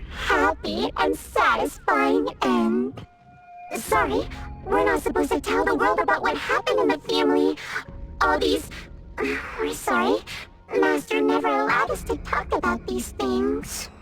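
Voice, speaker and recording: An actress voicing an eerie collective servant voice in a video game, swinging between mournful and giggling and repeating herself. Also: female voice, high-pitched, huge pitch swings, measured pace, exaggerated voice. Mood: manic. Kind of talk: video game cutscene voice acting. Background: loud game music.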